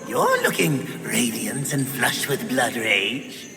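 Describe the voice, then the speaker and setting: A man playing a sly, wheedling, theatrical demon merchant in a video game, flattering and drawing out his words. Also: mid-range voice, gravelly, in a large hall, background noise, exaggerated voice. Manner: flamboyant, drawn-out, swooping. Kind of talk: scripted video game cutscene acting.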